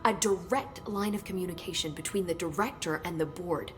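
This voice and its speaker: Low-voiced earnest female agency researcher played by an actress. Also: fairly quick, over music, natural voice. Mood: helpful, informative. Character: earnest, knowledgeable female government agency researcher, briefing her director. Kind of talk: video game cutscene acting.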